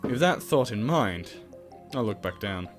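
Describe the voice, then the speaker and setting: A man narrates a video game playthrough with very lively, swinging pitch over clear background music. He reads the text aloud, then slips into goofy, exclamatory asides as the host. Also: measured pace. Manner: jokey, exclamatory, swings between reading and goofy outbursts. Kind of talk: let's play, reading game text aloud with joking asides.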